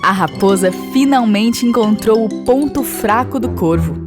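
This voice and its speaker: A woman's voice: a little high, expressive, a little slow. A woman with a Brazilian accent reading a scripted children's fable as a storyteller. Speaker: narrator. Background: instrumental music.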